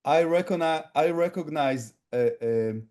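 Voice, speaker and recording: A man's voice: on the high side, unhurried, a little mellow. A man asking hesitant, stammering questions on a video call. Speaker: meeting participant.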